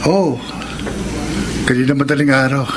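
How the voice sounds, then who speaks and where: A man's voice: medium pitch, fairly even intonation, moderate pace, background noise, close to an everyday voice. An actor playing a stressed, uneasy young male office worker with a Filipino accent in a radio horror drama. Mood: troubled.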